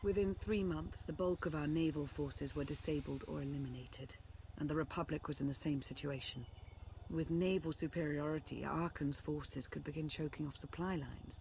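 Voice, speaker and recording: An actress playing a composed, earnest female Sith strategist and loyal ally in a video game, speaking in a measured, formal, articulate way. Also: sits low, darkish tone, slight background noise. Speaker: advisor and ally. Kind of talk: scripted game dialogue, briefing.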